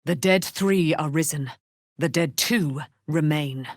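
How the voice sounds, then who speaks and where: A woman's voice: sits low, slow, dry recording, clean studio sound. A woman playing a proud, righteous immortal warrior, daughter of a moon goddess, with grand, archaic, declamatory diction in a fantasy video game. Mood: solemn, stately.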